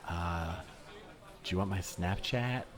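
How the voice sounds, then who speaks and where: A man's voice: low-pitched, slow. Man acting as young woman, needy, flustered, awkward rambling, improv comedy. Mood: awkwardly eager.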